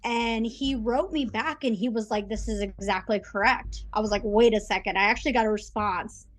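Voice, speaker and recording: A woman's voice: on the high side, normal speed. A woman in her thirties from Russia, telling her personal story as a podcast guest, chatty with many ums. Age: 30s. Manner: chatty, lots of ums and quoted asides.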